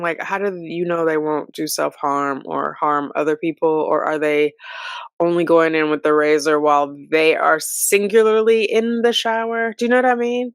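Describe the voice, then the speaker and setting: A woman's voice: fairly low pitch, creaky, no room sound, studio quality. An American woman hosting a commentary channel, reading reports dramatically and breaking into sassy, conversational asides.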